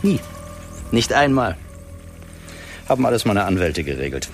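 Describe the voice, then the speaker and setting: An actor playing a boastful, cynical financier in a radio play, talking in a bragging, conspiratorial way. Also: male voice, on the low side, big pitch swings, moderate pace, faint music behind.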